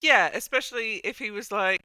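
A woman's voice: mid-range voice, brisk, bright, forceful tone, clear, resonant tone, no echo. A woman hosting a casual chat show, commenting in a wry, wordy, mock-dramatic way. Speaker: podcast host.

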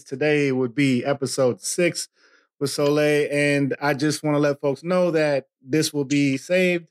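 A man's voice: medium pitch, smooth. A man hosting a podcast interview over a video call, talking casually with many fillers and restarts. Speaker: podcast host.

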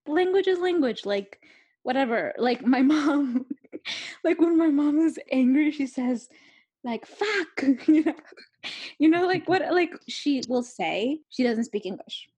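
A woman's voice: high-pitched, expressive, a little slow, mellow, highs cut off. A young adult woman author talking candidly and rambling, with lots of filler words and laughing asides. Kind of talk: candid conversational talk.

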